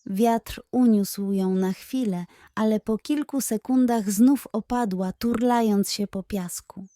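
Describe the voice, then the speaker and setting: A woman's voice: medium pitch, takes it slowly, mellow, dry recording, clean studio sound. A woman storyteller giving a scripted narration of a children's bedtime story.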